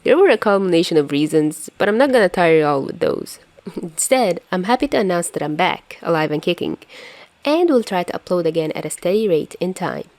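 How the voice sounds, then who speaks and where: A woman's voice: fairly low pitch, animated, a little fast, darkish tone, dry recording. A woman who reads fan fiction aloud as host of her own channel. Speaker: podfic reader and channel host. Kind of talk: narration of fan fiction and casual updates.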